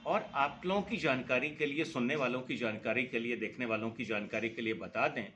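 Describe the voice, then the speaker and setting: A man's voice: medium pitch, a little fast, sharp tone. A middle-aged man, a campaigner against noise, explaining the rules point by point in an earnest, emphatic way. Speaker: noise pollution campaigner, foundation secretary. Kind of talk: explanatory interview answer.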